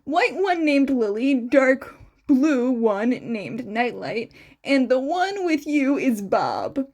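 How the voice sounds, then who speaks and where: A woman voicing a loud, cheerful, excitable uncle in a story reading. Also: high-pitched, slow, full character voice. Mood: upbeat, fond.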